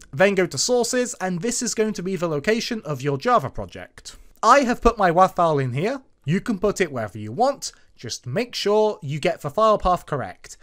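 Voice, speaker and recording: Male presenter for an informal screencast lesson; highly animated intonation, emphatic sing-song explanations. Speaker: tutorial host. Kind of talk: informal step-by-step screencast tutorial narration.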